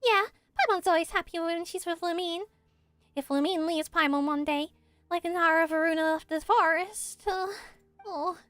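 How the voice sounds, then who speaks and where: A woman voicing a small, excitable, whiny and chirpy fairy girl companion from a video game, with dramatic exclamations. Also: extremely high pitch, moderate pace, no echo. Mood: wistful. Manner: exclamatory, dramatic, refers to herself by name.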